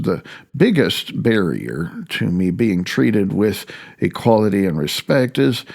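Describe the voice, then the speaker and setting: A man reading his own first-person audiobook as its author, in a halting, wry and self-deprecating way, stumbling over words now and then. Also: mid-range voice, darkish tone.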